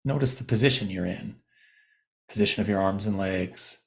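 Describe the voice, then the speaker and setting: Male meditation teacher, lowish gravelly register, gentle and slow to move on, instructing with spacious pauses. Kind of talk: guided body scan meditation.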